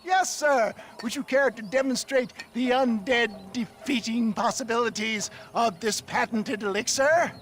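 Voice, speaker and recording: An actor playing a slippery, flamboyant patent-tonic huckster in a game, with grandiloquent carnival-barker patter. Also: male voice, very high-pitched, a little slow, hardly any pauses, a bit bright, slight background noise. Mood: showy, coaxing. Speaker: snake oil salesman. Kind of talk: theatrical sales patter in a game cutscene.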